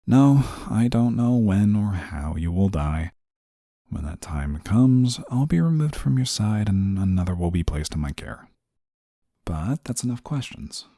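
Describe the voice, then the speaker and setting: A man playing an exasperated, rule-bound but soft-hearted guardian angel in a scripted audio roleplay, scolding in a weary, formal way. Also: low voice, no room sound, clean studio sound, exaggerated voice. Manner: weary, scolding, formal wording, sighs often.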